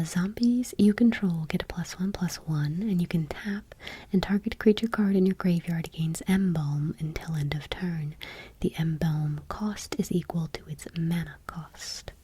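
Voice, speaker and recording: A woman doing ASMR, reading gently and unhurriedly in a breathy whisper, with casual asides. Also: fairly low pitch, slight background noise. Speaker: ASMR host.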